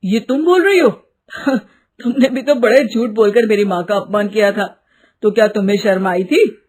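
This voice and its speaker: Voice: mid-range voice, normal speed, darkish tone, close to an everyday voice. A performer playing a resentful, vengeful young man, a housemaid's son, speaking emphatically in a dramatized story. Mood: accusing. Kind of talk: dramatized Hindi moral story.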